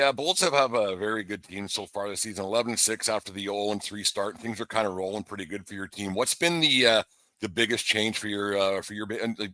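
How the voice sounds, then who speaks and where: Bright-voiced man hosting sports talk. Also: lowish voice, dry recording. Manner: halting, rambling delivery. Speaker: sports show host. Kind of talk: live interview chat.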